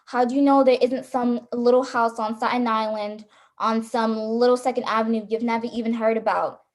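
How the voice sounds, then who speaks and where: A woman's voice: on the high side, level tone, brisk, close to an everyday voice. A woman playing a weary, dismissive police desk officer in a radio thriller, patronizing and placating. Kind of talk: suspense radio play acting.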